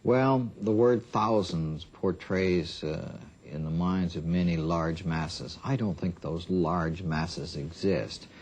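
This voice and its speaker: An American man giving formal interview answers as a government official, speaking in a deliberate, halting way. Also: deep voice, darkish tone, breathy edge. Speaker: government program director being interviewed. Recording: dull 1970s archive interview audio.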